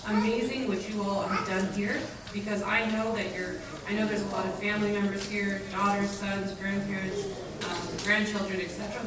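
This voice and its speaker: Chatty woman in an echoey hall. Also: mid-range voice. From San Antonio, Texas. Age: 40s. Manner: chatty and upbeat, many ums, quick asides to the audience. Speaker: keynote speaker, nonprofit executive director. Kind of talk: casual public talk to a gathering. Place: event venue with a live audience. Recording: echoey, distant mic, loud background noise.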